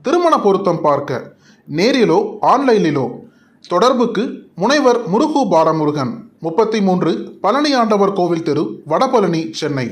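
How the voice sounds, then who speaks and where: Tamil nadu guy reading an ad, high voice, really animated announcer delivery. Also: normal speed. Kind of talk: scripted advertisement read.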